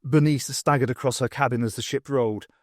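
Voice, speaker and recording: A man's voice: medium pitch, fairly even intonation, a little fast, no room sound, clean studio sound. A man reading scripted dramatic narration of a science fiction story as an audiobook narrator. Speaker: audiobook reader.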